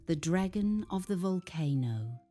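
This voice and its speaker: Slow, low-pitched woman telling bedtime stories. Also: animated, mellow, soft background music. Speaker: children's bedtime story narrator. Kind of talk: scripted storytelling narration.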